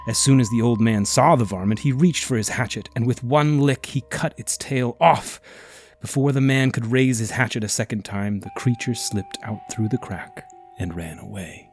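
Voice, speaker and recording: A man reading a scripted ghost story as narrator, dramatic and at times hushed. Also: mid-range voice, moderate pace, soft background music.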